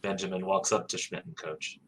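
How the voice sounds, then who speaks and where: Low-voiced man reading stage directions. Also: steady tone, moderate pace, fairly bright tone, slight background noise. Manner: plain, matter-of-fact.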